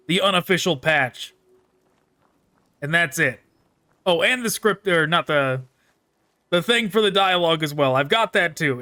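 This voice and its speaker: A man's voice: on the high side, sharp tone, no echo. A man hosting a gaming video, casually commenting on his playthrough and reading dialogue choices aloud.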